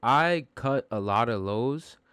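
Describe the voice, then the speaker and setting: A man with a bassy voice giving a casual, slangy music production tutorial, informal and self-deprecating, with some swearing. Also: expressive, takes it slowly, fairly bright tone, smooth, dry recording.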